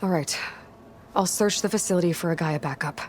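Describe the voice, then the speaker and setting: An actress playing a wary, determined young hunter in a video game, with a breathy edge and a dry, sardonic, guarded delivery. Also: female voice, lowish voice, a little fast, darkish tone, slight background noise, close to an everyday voice. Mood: distrustful, firm. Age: young adult. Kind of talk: video game dramatic acting.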